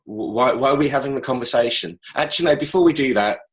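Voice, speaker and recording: A man's voice: mid-range voice, fast talker. A man hosting a casual interview over a call, asking loose questions with chatty asides. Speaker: interviewer, host. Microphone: call recording.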